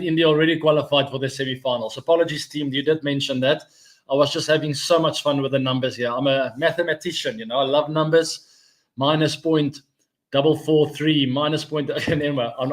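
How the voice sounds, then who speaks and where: A man in his forties, hosting a live cricket stream in a chatty, rambling, upbeat way, thinking aloud as he goes. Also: a little high. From South Africa. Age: 40s. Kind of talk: casual live-stream chat.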